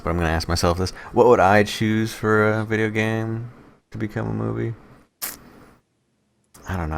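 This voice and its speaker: A man's voice: low-pitched, vocal fry. A man co-hosting a podcast, bantering casually and informally with the odd swear word. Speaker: podcast co-host.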